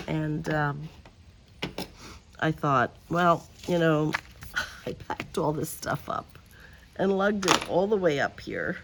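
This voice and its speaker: Slow, gravelly-voiced woman crafting outdoors. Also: low-pitched, a little hiss or hum. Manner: quiet and subdued, drifting into mutters and whispers while working. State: voice lower and deeper than usual. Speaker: host of a paper craft channel. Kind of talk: casual crafting chat while working. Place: outdoors, in a breeze.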